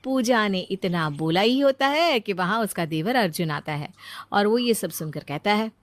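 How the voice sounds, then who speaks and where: A woman's voice: mid-range voice, animated, moderate pace. A woman reading scripted story narration.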